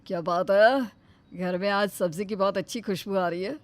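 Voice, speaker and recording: A woman voicing a flustered young husband who talks fast and placating. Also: dry recording, natural voice. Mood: worried. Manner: quick, low, placating.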